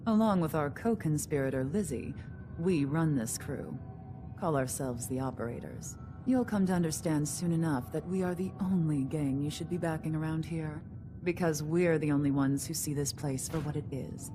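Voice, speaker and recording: An actress playing a haughty, calculating gang leader in a video game, refined, condescending and sardonic. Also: female voice, low voice, moderate pace, over music, lightly acted. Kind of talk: video game dialogue acting.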